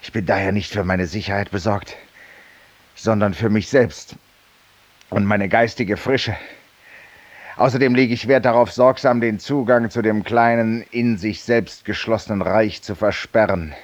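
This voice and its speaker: An actor playing a feverish, weary, self-styled judge-penitent in a 1950s radio drama, confiding ironically and theatrically in a silent listener. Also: male voice, lowish voice, steady tone, a little slow, no echo, muffled recording. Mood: uneasy, confiding. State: feverish and tired, with coughs.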